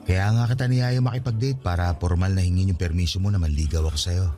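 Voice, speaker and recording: Radio romance role: man playing patient lovestruck suitor, very low, dark mellow voice, tender and earnest. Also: steady tone, fast, close to an everyday voice. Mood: tender, confessing. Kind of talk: radio romance drama acting in Tagalog. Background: music.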